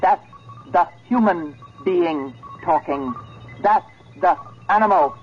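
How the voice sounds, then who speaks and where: An actress playing a coldly menacing, sentient female computer in a 1970s radio drama, speaking slowly, deliberately and imperiously. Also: on the low side, faint music behind. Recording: dull vintage radio drama recording.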